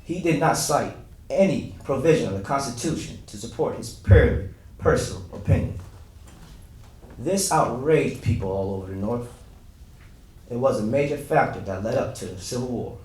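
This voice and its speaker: Man acting formerly enslaved man, dignified, declamatory, medium pitch, roomy distant recording, dramatic reading. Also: lively intonation, moderate pace, a little mellow, natural voice. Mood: solemn, firm. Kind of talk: first-person historical monologue, staged dramatic reading. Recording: echoey room, distant from mic.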